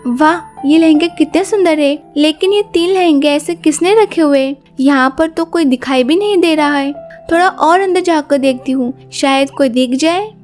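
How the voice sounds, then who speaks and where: Woman voicing a high-pitched innocent young girl. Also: normal speed, darkish tone, faint music behind, close to an everyday voice. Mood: surprised, curious. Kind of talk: animated moral storybook acting.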